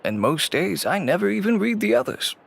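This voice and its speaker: A man playing a cautious, middle-aged shop owner in a video game, speaking in a measured, wry way. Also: high voice, sing-song, creaky, slight background noise, full character voice. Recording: game audio with soft music. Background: soft game music.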